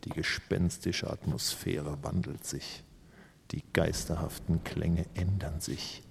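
A man's voice: extremely low pitch, steady tone, gravelly, a little hiss or hum, exaggerated voice. A man playing an ominous, suspenseful narrator in a haunted house radio play, dropping at times into whispers. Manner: slow, suspenseful, partly whispered.

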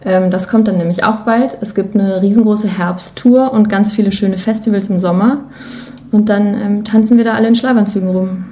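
A woman's voice: mid-range voice, fairly even intonation, moderate pace, dark tone. A woman talking casually and informally as a musician guest in an interview, with an enthusiastic manner. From Germany. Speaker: podcast guest, musician and singer.

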